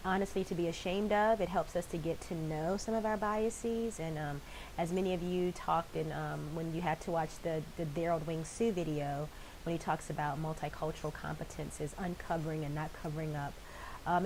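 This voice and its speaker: A woman's voice: deep voice, poor audio. A woman instructor with a head cold giving a weekly course announcement in a conversational way, with frequent filler words. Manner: warm, conversational, frequent ums. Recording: faint background hiss.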